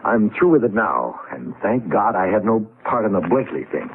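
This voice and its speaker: An actor playing a disillusioned, sardonic older business partner in 1970s radio drama, scornful and emphatic. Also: male voice, on the low side, big pitch swings, normal speed, slight background noise. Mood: disgusted, sardonic. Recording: muffled vintage radio broadcast.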